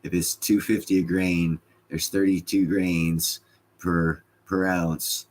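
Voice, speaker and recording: A man's voice: low-pitched, flat delivery, slow pace, darkish tone. A man with a slight Scottish accent hosting a casual livestream, reading chat comments and joking with loose, profane banter.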